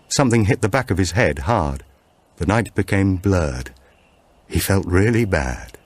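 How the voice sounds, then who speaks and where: A man's voice: low-pitched, a little mellow, no echo. A man reading a suspense thriller as scripted audiobook narration.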